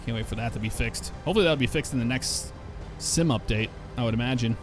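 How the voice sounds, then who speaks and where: A man's voice: medium pitch, quick pace, music in the background. A man hosting a flight sim channel, chatting casually through his flight and reading back radio calls to the controller. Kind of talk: casual gameplay commentary.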